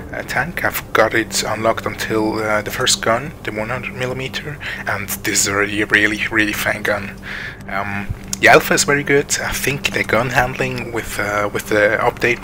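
A man's voice: on the low side, background noise. A man with a Swiss accent giving casual gameplay commentary, hesitant and full of ums and restarts. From Switzerland. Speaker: gaming channel host. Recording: game sounds over the voice. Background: tank game sounds.

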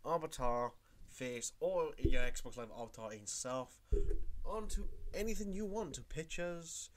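A man's voice: fairly high pitch, lively intonation, unhurried, a little hiss or hum. A young man with a cold, rambling through a casual tutorial vlog from his room at home. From Wales. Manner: rambling, repeats himself. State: has a cold. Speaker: YouTuber.

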